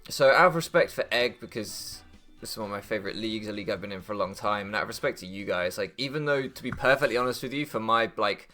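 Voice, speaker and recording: Bright-voiced young man commentating a game. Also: on the low side, lively intonation, fast, soft background music. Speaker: gaming YouTuber. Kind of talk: casual strategy game commentary, thinking aloud.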